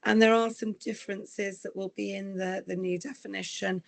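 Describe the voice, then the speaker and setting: A woman with a croaky voice from recent illness, giving an earnest, explanatory online presentation. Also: medium pitch, steady tone, moderate pace. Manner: earnest, explanatory, asks for slide changes. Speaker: guest presenter.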